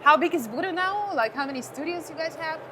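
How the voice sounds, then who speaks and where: A woman's voice: sits high, lively intonation, fast talker, crowd noise. A woman with a Russian accent, a native Russian speaker, asking questions as a casual, conversational interviewer. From Saint Petersburg, Russia. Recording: clear background noise, busy venue ambience.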